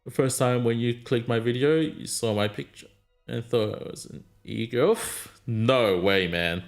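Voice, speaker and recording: A man's voice: medium pitch, measured pace, faint music behind. A man streaming a mobile game, chatting casually and answering his chat in a rambling way. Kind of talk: casual live-stream chat while gaming.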